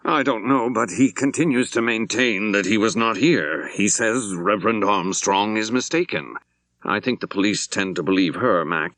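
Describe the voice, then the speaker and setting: A man voicing a troubled senior bishop in an audiobook, speaking in a formal, hesitant, deliberate way. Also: on the low side, fairly bright tone, no room sound, poor audio. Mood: careful, troubled.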